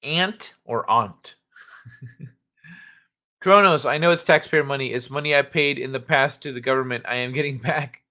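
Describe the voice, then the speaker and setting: A man's voice: medium pitch, moderate pace, pushed and bright. A man hosting a live stream, chatting casually and rambling with jokes and swearing. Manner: rambling, joking, profane, laughs often. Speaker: livestream host. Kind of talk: casual live-chat talk.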